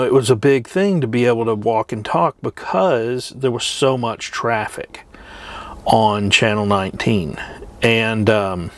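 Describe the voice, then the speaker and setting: A middle-aged man chatting casually as a trucker vlogger, rambling and reminiscing with frequent hesitant pauses. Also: mid-range voice. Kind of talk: casual rambling chat to camera.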